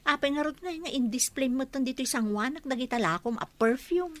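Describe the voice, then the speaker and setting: A woman with an Ilocano accent playing a jealous, hurt village wife who is quick to scold, in a comedy radio drama. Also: high voice, natural voice. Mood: glum.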